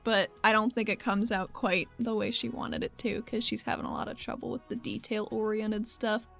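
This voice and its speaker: A woman's voice: medium pitch, very animated, normal speed, vocal fry, fairly bright tone. A woman improvising her character's actions in a tabletop roleplaying game, in an informal, giggly way. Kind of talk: casual improvised roleplay narration. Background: background music.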